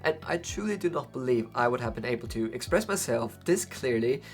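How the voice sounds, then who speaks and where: A man's voice: mid-range voice, lively intonation, brisk, soft background music. A young man with a Faroese accent, whose first language is Faroese, hosting a book channel and talking to the camera in a conversational way, often asking rhetorical questions. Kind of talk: talking to camera about books.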